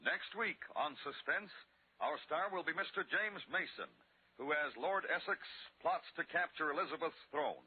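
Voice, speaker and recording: A man reading scripted announcements in a formal, measured 1950s radio style. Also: fairly high pitch, animated, slightly rough. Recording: muffled band-limited old radio broadcast.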